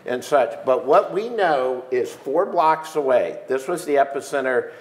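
A man's voice: mid-range voice, lively intonation, a little slow, reverberant. A man in his sixties, a panel guest at a conference, talking emphatically with many restarts on a lapel mic. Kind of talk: conference keynote conversation. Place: stage in a large room, seated in armchair.

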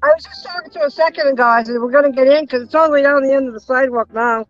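Woman, high voice, rapid casual chat, dull lo-fi pickup away from the phone. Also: soft background music. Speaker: companion of the streamer, off camera.

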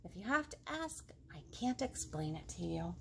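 A woman voicing a calm, laid-back pig from a picture book who gives advice in a matter-of-fact way. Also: mid-range voice, moderate pace, creaky, slight background noise. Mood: calm, advising.

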